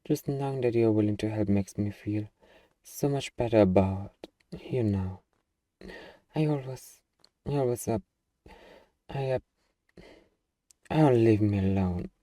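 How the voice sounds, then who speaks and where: A man playing a gruff, defensive young zombie-apocalypse survivor with anxiety underneath, in an ASMR roleplay. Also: lowish voice, a little slow, pauses often, mellow, no echo, far from the mic, lightly acted. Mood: anxious, hesitant.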